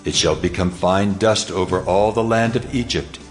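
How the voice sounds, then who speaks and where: A man playing God in a dramatized scripture audio drama, solemn and majestic, speaking in deliberate declamation. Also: low-pitched, fairly even intonation, natural voice. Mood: solemn command. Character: God of Israel, solemn, commanding, majestic divine voice. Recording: reverberant hall, music underneath.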